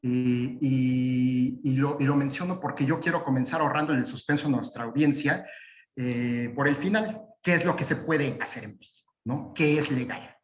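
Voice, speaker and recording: A man speaking as a guest lawyer in a webinar, talking in a halting way with many "eh" fillers and restarts. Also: medium pitch, reverberant. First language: Spanish. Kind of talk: webinar presentation. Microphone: video call.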